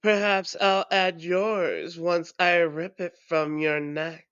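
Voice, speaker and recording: A woman voicing a menacing, taunting ancient vampire in a theatrical, deliberate audio roleplay monologue. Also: lowish voice, a bit bright, clear, resonant tone, dry recording, highs cut off. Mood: mocking menace.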